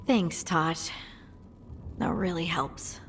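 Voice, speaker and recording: A woman playing a gentle, unsure young scout who talks hesitantly and softly in a video game cutscene. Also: on the low side, drawn-out words, big-room sound, a little hiss or hum. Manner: hesitant and soft-spoken. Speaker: party companion.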